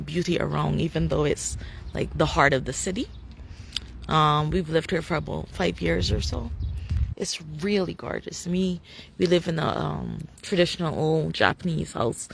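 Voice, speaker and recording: A woman's voice: deep voice, creaky, slight background noise. Barbadian woman rambling on a live stream. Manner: rambling, many fillers and restarts, laughs often. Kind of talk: casual live-stream chat. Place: outdoors on a city street. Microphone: phone camera.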